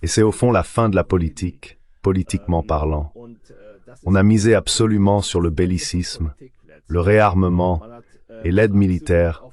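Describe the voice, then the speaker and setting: A man's voice: sits low, normal speed, a little mellow. A man speaking as an expert guest, giving critical, opinionated answers in a dubbed political interview.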